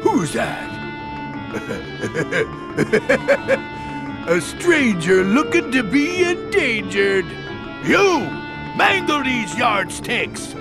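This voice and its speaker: An actor voicing a big bullying cartoon cat captain, a villain who gloats with a gravelly voice over loud game music. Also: male voice, extremely high pitch, wildly swooping pitch, extremely slow, full character voice. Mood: gloating.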